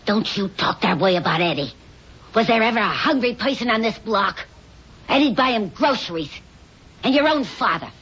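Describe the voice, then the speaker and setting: A woman's voice: medium pitch, expressive, normal speed, a bit bright, breathy edge. An actress playing a caring middle-aged mother figure in a radio drama, earnest and reproachful, pleading as she scolds. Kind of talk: old-time radio drama acting. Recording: dull, noisy old radio recording.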